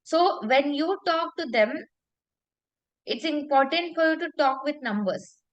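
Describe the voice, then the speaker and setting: A woman's voice: high-pitched, expressive, a little slow, lo-fi sound. A woman works as a public speaking coach. She explains in an emphatic, conversational way, repeating phrases for weight and checking in with short tag questions.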